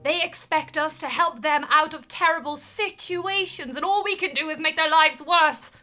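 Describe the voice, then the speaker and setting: An actress playing a melodramatic, bossy and overwrought office manager in a scripted audio comedy. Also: female voice, sits high, normal speed. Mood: upset. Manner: theatrical, swings from panic to forced calm.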